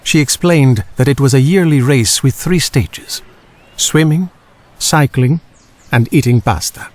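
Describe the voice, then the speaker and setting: A man's voice: mid-range voice, measured pace, a little mellow. A man reading a children's storybook as a scripted audiobook narrator.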